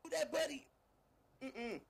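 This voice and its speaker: A man's voice: very high-pitched, animated, a little slow, vocal fry. A man arguing heatedly and confrontationally in a casual online live-stream chat, repeating himself for emphasis.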